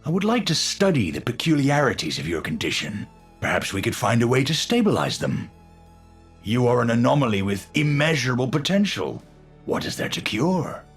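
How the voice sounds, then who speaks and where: An actor playing an eccentric older physicist in a video game, gentle and brilliant yet unhinged, speaking formally and precisely with a breathy, husky voice. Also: male voice, medium pitch, very animated, normal speed, soft background music. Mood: intrigued, courteous. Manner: precise, formal, musing, erratic swings into fervour. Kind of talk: video game character voice lines.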